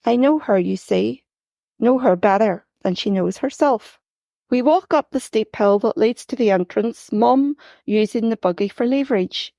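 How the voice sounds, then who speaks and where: A woman reading her own first-person story aloud at a measured pace, gently expressive, lifting her voice for lines of dialogue. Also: fairly high pitch, creaky, dry recording, studio quality.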